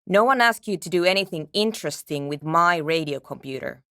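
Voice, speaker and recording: Curt, low-voiced woman programmer. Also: animated, fairly quick, pushed and bright, no room sound. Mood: curt, dismissive. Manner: brusque, dismissive, dry. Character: curt, skeptical, world-weary woman programmer absorbed in research. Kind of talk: video game character dialogue.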